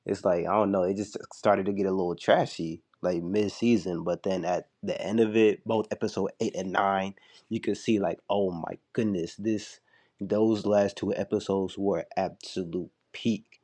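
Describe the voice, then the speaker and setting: A man's voice: low voice, steady tone, normal speed, darkish tone. A young man giving a loose, chatty spoken review, full of "like" and restarts, while a little sick. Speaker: show reviewer.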